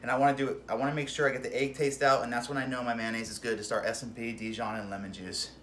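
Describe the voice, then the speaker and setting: A man's voice: medium pitch, level tone, rapid-fire, fairly bright tone, a little hiss or hum. A man in his thirties hosting a goofy home cooking demonstration, joking around and swearing as he walks through each step, recorded on a camera mic a few feet away in a small kitchen. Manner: jokey, swears, keeps saying "freaking". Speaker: home cooking show host. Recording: roomy kitchen echo.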